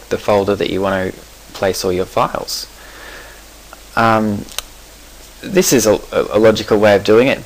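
A man with a fairly low voice walks through a program's settings in a screencast tutorial. He explains step by step in an easygoing, unscripted way, with the odd "um" and a slip he corrects himself. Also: poor audio. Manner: relaxed explanatory, with fillers and self-corrections. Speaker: software tutorial presenter. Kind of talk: screencast software walkthrough.

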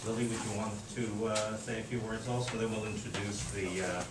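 Older man with a low voice in an echoey classroom. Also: flat delivery, fairly quick. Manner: friendly, conversational, with fillers. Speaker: professor hosting a class lecture. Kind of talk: classroom introduction. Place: small classroom. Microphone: far camera. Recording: echoey room from far off, noisy.

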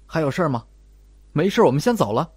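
A man voicing a scornful, defensive young female student in an audiobook, with sharp, mocking retorts. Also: a little high, big pitch swings, fast, breathy edge, no room sound. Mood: mocking, defiant. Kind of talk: audiobook character acting.

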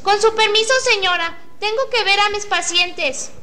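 A woman playing a young, brisk, reassuring hospital nurse in a student radio drama. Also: very high voice, pushed and bright. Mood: firm, polite. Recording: faint noise, amateur radio drama recording.

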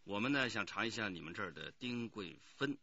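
Police inspector for radio drama: low, forceful, husky, affable and businesslike. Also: male voice, expressive, brisk, no echo, full character voice. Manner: courteous, to the point. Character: affable, businesslike male police detective chief. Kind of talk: Chinese radio drama acting. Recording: dull radio drama recording.

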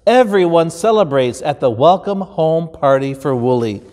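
A man in his fifties with an American accent, a pastor reading a children's story aloud in an expressive, deliberate way. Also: fairly high pitch. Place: large indoor church stage. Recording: roomy hall with long reverb.

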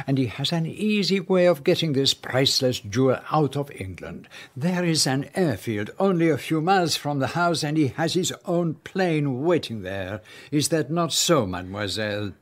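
A man's voice: mid-range voice, lightly acted. A man playing a precise, polite detective. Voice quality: formal, measured diction with French touches. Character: fussy foreign male detective, precise and polite. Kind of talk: radio drama acting.

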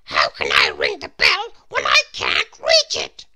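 A man's voice: rumbling low, wildly swooping pitch, measured pace, creaky, bright, forceful tone, voice pushed down, full character voice. A man voicing a tiny, polite, earnest little storybook man with a strained, partly whispery, theatrical voice. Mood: worried.

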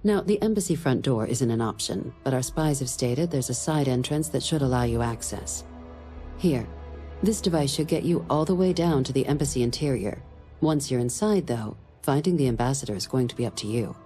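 An actress playing a composed woman diplomatic official in a game, giving a briefing in a measured, formal, dry way. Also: a bass voice, animated, warm tone, soft background music. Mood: measured, wry. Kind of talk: scripted game dialogue, mission briefing.

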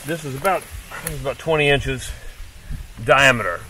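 A man's voice: medium pitch, a little fast, bright, forceful tone, slight background noise. A middle-aged man casually showing a wooded property outdoors, upbeat and informal like a salesman. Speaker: real estate agent. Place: outdoors in woods by a creek. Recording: distant outdoor camera audio with guitar music.

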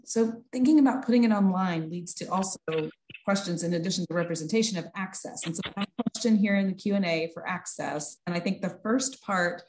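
A woman in her thirties with a fairly low voice moderates an online academic discussion. She speaks over a video call from an indoor office. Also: a little fast, darkish tone, low-quality recording. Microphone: video call, computer mic.

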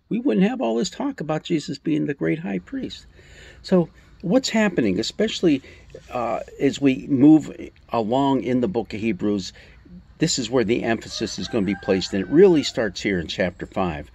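Husky older man with a lively, swinging delivery. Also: medium pitch. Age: 60s. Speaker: Bible teacher giving a devotional talk. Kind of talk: informal sermon, explaining scripture to camera. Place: outdoors on a covered porch among greenery. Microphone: phone or camera at arm's length.

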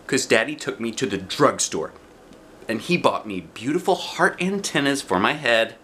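Animated man reading a children's book aloud. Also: mid-range voice. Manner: theatrical, playful emphasis. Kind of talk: expressive read-aloud narration. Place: small living room, indoors. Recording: faint background room noise.

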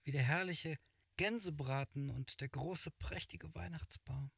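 A man voicing a poor, freezing little girl who pleads in a high voice. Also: measured pace, vocal fry, fairly bright tone, breathy edge, dry recording, full character voice. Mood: pleading.

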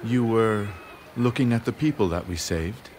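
An actor playing a calm, wise gentleman strategist of ancient China in a video game, formal and composed. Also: lowish voice, moderate pace, a little hiss or hum, natural voice. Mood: composed, gracious. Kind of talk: dubbed video game cutscene acting.

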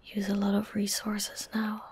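A woman in her twenties giving calm, gentle ASMR game commentary in a breathy whisper, close to the microphone. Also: medium pitch, measured pace, a little hiss or hum. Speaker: streamer narrating her gameplay. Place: indoor room at a gaming desk. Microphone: close desk microphone.

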